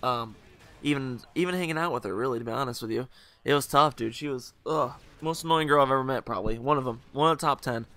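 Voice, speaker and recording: A young man hosting a gaming video, telling a rambling, joking story over gameplay while hungover, his voice a little rougher and lower than usual. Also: fast talker, sharp tone. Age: young adult. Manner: rambling, joking, full of fillers and asides.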